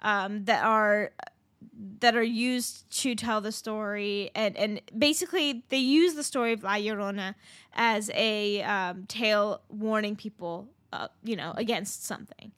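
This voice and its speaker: A woman's voice: medium pitch, unhurried, a bit bright, no echo, clean studio sound. A young woman, co-hosting a podcast and telling a legend in a chatty way with lots of ums. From Southern California. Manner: chatty, many ums, swearing. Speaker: podcast co-host. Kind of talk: casual storytelling chat.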